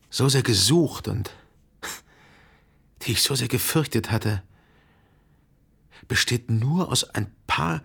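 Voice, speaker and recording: An actor playing a brooding, melancholy man who recalls a dead lover in reflective, measured radio drama narration. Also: medium pitch, creaky, husky, full character voice. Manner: reflective, measured, analytical monologue.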